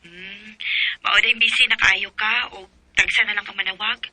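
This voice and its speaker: Very bright, high Filipino admirer. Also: male voice, steady tone, moderate pace, full character voice, low-quality recording. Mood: admiring, probing. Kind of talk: radio drama acting.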